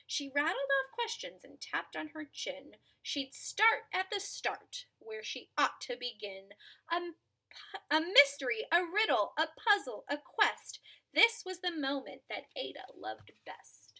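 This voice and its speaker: A woman's voice: high voice, sing-song, unhurried, pushed and bright, poor audio. A woman teacher reading a children's storybook aloud expressively, with asides spoken directly to young listeners.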